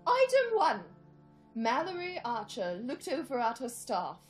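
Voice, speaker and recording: A prim old British lady narrator with a put-on British accent, as a woman does the voice, reading a story aloud in a lilting, sing-song way. Her voice sits high and swoops widely from line to line. Her delivery is theatrical and drawn out. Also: huge pitch swings, unhurried, soft background music, heavily acted. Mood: storytelling. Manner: theatrical, giggling. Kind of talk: fanfic read-aloud.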